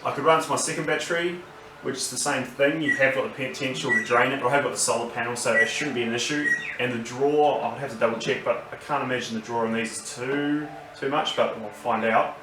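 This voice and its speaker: Australian man, roomy distant recording. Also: medium pitch. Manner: unscripted, halting, thinking aloud. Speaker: vlogger reviewing gear. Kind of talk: casual unboxing and review talk. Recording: roomy, distant mic, noisy background.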